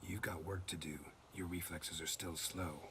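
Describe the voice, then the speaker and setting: An actor playing a terse, dry-witted monster hunter in a video game, with a gravelly near-whisper. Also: male voice, low voice, normal speed, noisy background. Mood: stern, instructing. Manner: terse, deadpan. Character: gruff, dry-witted adult male monster hunter mentor. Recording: loud game music underneath. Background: loud music.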